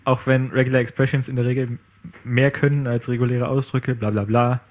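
A man's voice: mid-range voice, level tone, a little fast. A man in casual conversation about programming, rambling and playful with many fillers. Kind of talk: casual tech chat.

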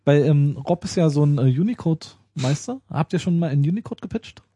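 A man's voice: a little high, expressive, brisk, mellow, breathy edge, dry recording, clean studio sound. A man co-hosting a casual tech podcast, joking and rambling with lots of fillers and restarts. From Berlin. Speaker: podcast co-host.

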